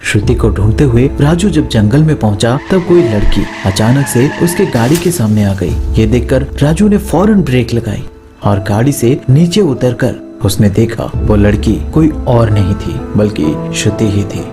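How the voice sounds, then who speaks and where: A man's voice: mid-range voice, lively intonation, moderate pace. A man narrating a scripted horror story as a storyteller. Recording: music behind the voice. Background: background music.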